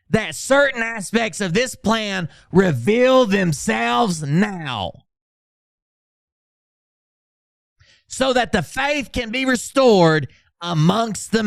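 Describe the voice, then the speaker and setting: A man's voice: high-pitched, vocal fry, a bit bright, dry recording, studio quality, full character voice. A man doing an over-dramatic reading as a pompous, self-important doomsaying orator, grandiose and declamatory. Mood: indignant, demanding.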